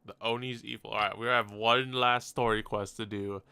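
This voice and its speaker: A man's voice: fairly low pitch, fairly even intonation, normal speed, creaky, sharp tone, clean tone. A man live-streaming a game, reacting and chatting casually in slangy, sweary, exasperated gripes into a close mic.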